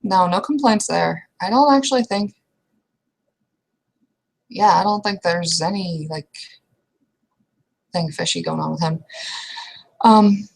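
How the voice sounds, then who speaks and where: A woman speaking casually as a player in a roleplay session, hesitant and halting, often trailing off. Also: lowish voice, vocal fry, rough recording. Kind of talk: casual in-character roleplay talk.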